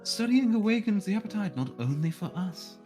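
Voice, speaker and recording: A man's voice: high-pitched, expressive, brisk, dark tone. A man streaming a visual novel, narrating aloud and breaking off into irreverent, sweary joking commentary. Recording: faint music behind the voice.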